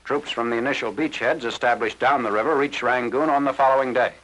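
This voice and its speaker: A man's voice: mid-range voice, steady tone, fast, fairly bright tone. An American man reads scripted narration as a 1940s newsreel announcer, in a formal, clipped military delivery. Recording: noisy 1940s newsreel film soundtrack.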